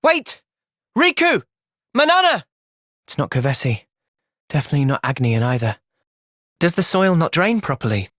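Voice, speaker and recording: A man with an English accent playing an earnest, thoughtful young soldier hero in a video game, speaking sincerely and with measured delivery. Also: a little high, sing-song, fairly bright tone, dry recording, studio quality. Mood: urgent, shouting. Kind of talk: video game cutscene voice acting.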